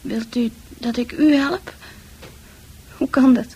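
An actress playing a fragile, haunted female psychiatric patient in a radio drama, halting and dreamy, with a breathy, often near-whispered delivery. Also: a little high, steady tone, warm tone, lo-fi sound. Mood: anxious, confused. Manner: halting, poetic, lost in thought. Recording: faintly noisy old radio play recording.

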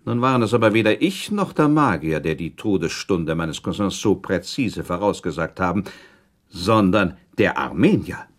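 Coolly ironic accused prince, period radio drama: husky, lively range, deliberate formal delivery. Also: male voice, low voice. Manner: formal, deliberate, sardonic, questioning. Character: proud male prince accused of murder, guarded, coolly ironic. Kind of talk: German radio play acting, period dialogue.

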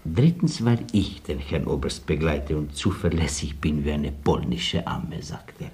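Low-voiced, cheeky military orderly. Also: male voice, expressive, measured pace, a little mellow, full character voice. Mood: dry, reporting. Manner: dry, deferential yet cheeky. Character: loyal, dryly cheeky male orderly of a Polish colonel. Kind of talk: radio drama acting.

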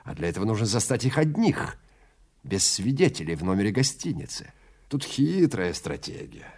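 A man playing a suave, menacing film director who speaks in an unhurried, theatrical, insinuating way with pauses between phrases. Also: fairly low pitch, big pitch swings, a little mellow, breathy edge, exaggerated voice. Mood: smooth, veiled menace. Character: suave, menacing male film director, calmly toying with his prey.

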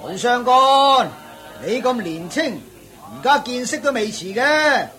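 A man's voice: extremely high pitch, lively intonation, slow pace, pushed and bright, soft background music, full character voice. A man voicing a middle-aged auctioneer who chuckles and lectures in a knowing, chatty way in a radio play. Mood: amused.

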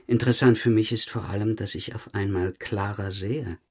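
An actor playing a dogged police detective questioning a suspect in a German crime audio drama, matter-of-fact and dryly pointed. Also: male voice, on the low side, fairly even intonation, normal speed, a little mellow, husky. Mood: controlled, pointed. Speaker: police investigator.

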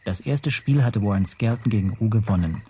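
A man acting a reserved storyteller in a radio drama. His voice is low and very mellow. He recounts what he observed in a measured, understated way. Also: a little hiss or hum, natural voice. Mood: composed, observant. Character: reserved male hotel guest recounting events as narrator. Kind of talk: first-person radio drama narration.

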